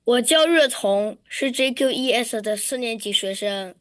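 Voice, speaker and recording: A woman's voice: a little high, measured pace, fairly bright tone, no echo. Woman reading a short prepared statement. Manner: short recited sentences. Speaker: student public speaker.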